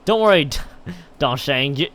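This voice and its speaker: A man's voice: a little high, lively intonation, unhurried, fairly bright tone. A man on a live game stream, reading a visual novel aloud with stumbles and exasperated asides. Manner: stumbles and repeats words while reading.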